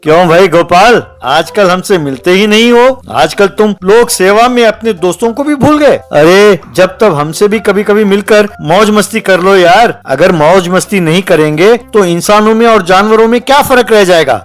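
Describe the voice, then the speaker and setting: A man's voice: high voice, expressive, moderate pace, few pauses, soft background music. A man voicing a jealous, scheming male villager in a cartoon story, with a plotting, cajoling manner. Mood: falsely friendly, cajoling.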